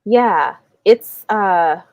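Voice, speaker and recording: A woman's voice: mid-range voice, expressive, extremely slow, no room sound, lo-fi sound. A woman hosting a casual book discussion, thinking aloud in a rambling way with lots of fillers. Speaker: live show host. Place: indoors, livestream video call.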